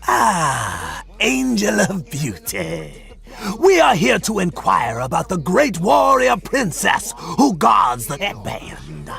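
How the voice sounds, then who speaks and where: An actor playing an eccentric, scheming old mystic in a video game, speaking in a theatrical, preachy way. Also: male voice, high voice, wildly swooping pitch, slow, vocal fry, fairly bright tone, soft background music, exaggerated voice. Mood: grandiose pleading. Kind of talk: video game character acting.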